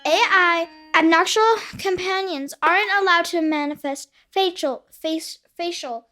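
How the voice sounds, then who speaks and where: Tiny cartoon alien, extremely high and bright, fussy and bossy, faint music behind. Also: female voice, measured pace, exaggerated voice. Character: small squeaky alien family member, fussy and bossy. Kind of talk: animated puppet comedy acting.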